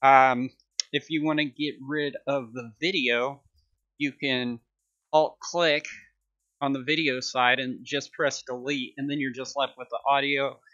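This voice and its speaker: A man in his thirties hosting a casual software tutorial with an informal, upbeat manner. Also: medium pitch, level tone, measured pace, dry recording, highs cut off. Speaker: tutorial host.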